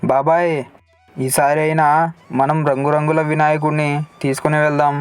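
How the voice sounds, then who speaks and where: A man playing an excited, obstinate young village lad in a cartoon story, pleading and insistent. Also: fairly high pitch, normal speed, smooth, no room sound, soft background music, natural voice. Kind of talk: Telugu cartoon story dialogue.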